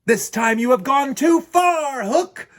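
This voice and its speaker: A man's voice: very high voice, expressive, slow pace, fairly bright tone, pitched above the performer's own voice, full character voice. A man voicing a bold, commanding boy adventurer in a children's storybook reading. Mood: indignant.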